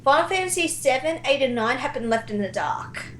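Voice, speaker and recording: Higher-voiced woman in a roomy echo. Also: lively intonation, a little fast, a bit bright, a little hiss or hum. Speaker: guest fandub director. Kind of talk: casual chat about video games.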